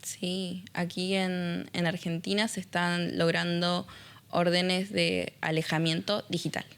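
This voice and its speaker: Gravelly-voiced woman activist guest. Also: on the low side, little pitch movement, takes it slowly, fairly bright tone. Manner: matter-of-fact, informative. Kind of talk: interview answers.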